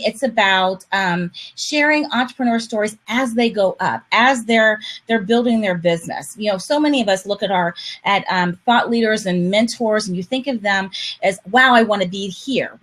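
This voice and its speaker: A woman's voice: mid-range voice, moderate pace, a bit bright. An American woman hosting a casual live-stream interview, enthusiastic and rambling, often restarting her sentences, on a video call. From United States. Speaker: show host.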